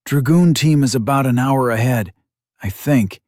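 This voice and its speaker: A man voicing a badly wounded one-legged warrior in his forties, gruff and stoic, with terse warnings spoken through gritted teeth. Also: mid-range voice, a little slow, darkish tone, dry recording, studio quality, voice pushed up, natural voice. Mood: grim warning. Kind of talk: audiobook character voice.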